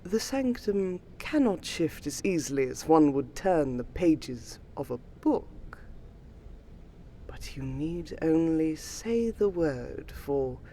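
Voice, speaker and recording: A woman voicing a gracious, ancient vampire lady in a fantasy roleplay audio, speaking formally and soothingly to the listener with a wistful, breathy voice. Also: sits low, sing-song, takes it slowly, mellow, a little hiss or hum, exaggerated voice. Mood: gentle, reserved. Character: gracious ancient vampire lady, noble keeper of a magical sanctum.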